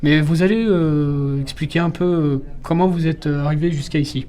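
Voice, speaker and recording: A man's voice: a little high, normal speed, mellow. A young man from Norway, speaking French as a second language, hosts a school interview with many hesitations and fillers. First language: Norwegian. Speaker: student interviewer.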